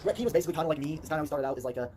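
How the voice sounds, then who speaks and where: A man's voice: a little high, very fast, darkish tone, slight background noise. A man with a put-on British accent answering viewer questions in a rambling, filler-heavy way on a casual stream. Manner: rambling, many fillers and restarts. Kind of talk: casual stream talk in character.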